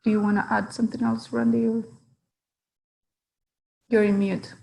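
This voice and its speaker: A woman researcher presents over a video call, searching for words with plenty of pauses and fillers. Her voice is even and level, with a gravelly rasp at the edges. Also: on the high side, rough recording. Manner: hesitant, many fillers and restarts. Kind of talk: online webinar presentation.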